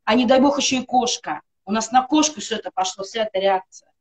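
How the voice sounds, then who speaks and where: Woman, middle-aged, slightly high pitched, earnest testimonial, emphatic lists, webcam video call, home room. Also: fast talker. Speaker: guest on a live stream. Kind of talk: personal testimonial for a wellness product.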